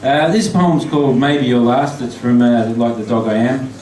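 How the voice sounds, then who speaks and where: An Australian man, a poet, reading his poems and flash fiction aloud at a live event, chatty and halting between pieces, slow and deliberate when reading. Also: mid-range voice, darkish tone. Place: pub function room. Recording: echoey pub from far off with noise.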